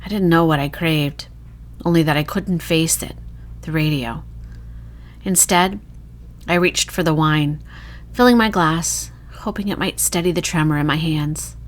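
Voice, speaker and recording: A woman reading a first-person horror short story in a dramatic, emotive, hushed storytelling manner. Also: sits low, measured pace.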